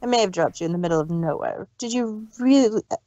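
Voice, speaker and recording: A woman voicing a scheming, unhinged female pony villain with a slow, condescending, taunting delivery in a story reading. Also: mid-range voice, dark tone, dull audio, slight background noise, close to an everyday voice. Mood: smug contempt. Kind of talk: dramatized fan fiction reading.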